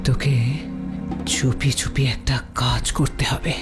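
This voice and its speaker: An actress playing a jealous, scheming elder queen in a fairy-tale drama, speaking in a deliberate, conspiratorial, commanding way. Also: rumbling low, level tone, music in the background. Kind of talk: Bengali fairy-tale audio drama acting.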